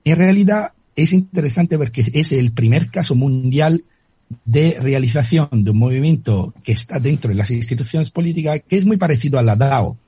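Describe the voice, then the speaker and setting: A man's voice: mid-range voice, animated, moderate pace, warm tone, slightly rough, no echo. A man from Italy with an Italian accent, a guest on a radio interview who often repeats words while searching for the right term.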